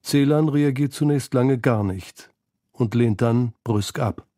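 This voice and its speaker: A male narrator of a scripted radio feature. His voice is mid-range and soft-edged, with a dark, mellow tone. He reads in a measured, literary way, recorded very dry. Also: medium pitch, dry recording.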